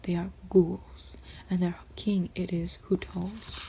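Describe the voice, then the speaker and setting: A woman reading classic poems aloud in a soft, steady whisper, with rhythmic recitation. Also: on the low side, slow, vocal fry, noisy background.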